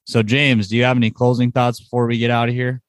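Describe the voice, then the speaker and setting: A man's voice: mid-range voice, level tone, fast, smooth, no room sound, clean studio sound. A man hosting a casual sports podcast, chatting informally about results and what is coming up. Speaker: podcast host.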